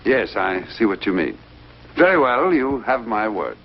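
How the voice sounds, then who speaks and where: An actor playing an inquisitive, authoritative government secretary in an old television drama, speaking formally and deliberately. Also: male voice, fairly low pitch, sing-song. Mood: businesslike, probing. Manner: measured, formal, deliberate questioning. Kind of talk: mid-century American television drama acting. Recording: dull, noisy vintage TV soundtrack.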